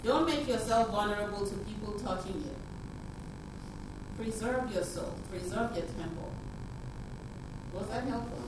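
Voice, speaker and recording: A woman's voice: medium pitch, a little slow, long pauses, very noisy. A middle-aged woman from Nigeria gives an earnest, urging public talk into a handheld mic in a conference room, repeating her key phrases. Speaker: speaker. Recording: echoey room from far off.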